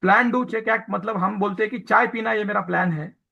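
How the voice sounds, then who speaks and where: A man with a notably high-pitched voice teaches a training session, mixing Hindi with English technical terms. He pauses often on fillers and explains ideas through homely everyday examples. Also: vocal fry, sharp tone, highs cut off. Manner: frequent hesitation fillers. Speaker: hospital accreditation trainer. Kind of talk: training lecture.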